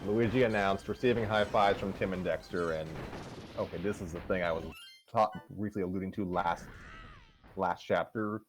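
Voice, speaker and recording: A man hosting a casual story reading, breaking off into halting asides. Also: low voice, fairly even intonation, music in the background. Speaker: host and reader of the story.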